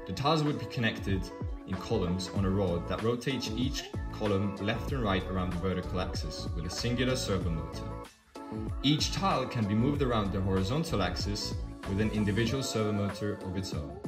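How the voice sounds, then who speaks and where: A man's voice: on the low side, lively intonation, fairly quick, echoey room. A young man in his twenties giving an upbeat, scripted narration for a student design video. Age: 20s. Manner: upbeat, playful presenting tone. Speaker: student presenter. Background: background music.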